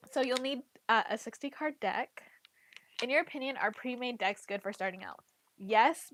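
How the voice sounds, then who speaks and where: A woman's voice: mid-range voice, fairly bright tone, no room sound. A woman chatting casually about playing a card game, with a congested voice from a cold and a habit of saying "like" and "literally". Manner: chatty, heavy use of "like" and "literally". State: congested, getting over a cold. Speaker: trading card game player and content creator. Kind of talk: casual chat.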